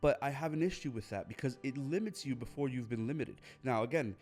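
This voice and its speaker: A man's voice: mid-range voice, fairly quick, a little mellow, soft background music. Earnest man giving a motivational talk. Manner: earnest, repetitive, restarts phrases. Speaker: podcast host. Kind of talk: motivational monologue.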